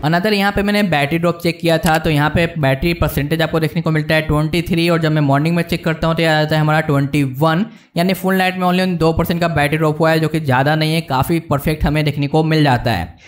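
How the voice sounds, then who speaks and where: Casting: Indian man, somewhat high-pitched, informal tech video host walking viewers through a screen, English words mixed in. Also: fairly even intonation, quick pace, few pauses. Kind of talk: casual screen-walkthrough review narration.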